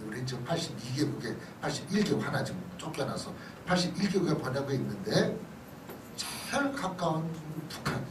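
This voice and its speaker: A man's voice: on the high side, big pitch swings, fairly quick. A middle-aged man, a pastor, giving a conversational sermon-like lecture, often checking in with his listeners. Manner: conversational, punctuated with short checking questions to listeners. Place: church hall. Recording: distant mic in echoey room, noisy.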